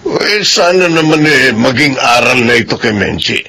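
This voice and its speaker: An actor playing a concerned, decisive middle-aged uncle in a Filipino radio drama, with a Filipino accent. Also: male voice, medium pitch, expressive, moderate pace, pushed and bright, husky, heavily acted.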